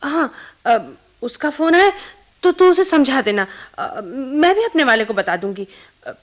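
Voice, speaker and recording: Fretful young wife with a high voice. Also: female voice, lively intonation, a little slow. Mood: planning, hushed. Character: fretful young married woman fearing scandal and divorce. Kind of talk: Hindi radio play acting.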